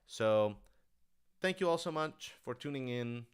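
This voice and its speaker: A man's voice: mid-range voice, animated, unhurried, smooth. A man hosting a casual livestream Q&A as a writer-director, rambling and thinking aloud as he talks to his audience.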